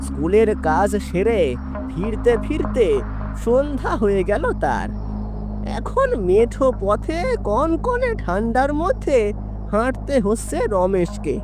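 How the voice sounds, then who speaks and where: A woman narrating a horror story in an expressive, theatrical way. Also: a little high. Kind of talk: dramatic horror story narration with character voices.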